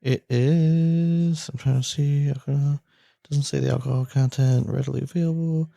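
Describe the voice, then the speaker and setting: A man's voice: mid-range voice, steady tone, normal speed, creaky, warm tone, dry recording. An American man co-hosting a podcast with informal, sweary banter, repeating himself for emphasis. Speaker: podcast co-host. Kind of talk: casual banter between friends.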